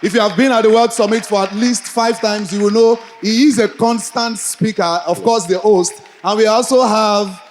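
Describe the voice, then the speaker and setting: A Nigerian man with a notably high voice co-hosts a live stage event. He speaks in a polite, respectful way, trading banter with his fellow host and introducing guests to the audience. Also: steady tone, moderate pace, hardly any pauses, in a large hall, slight background noise. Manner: polite, addresses co-host as sir.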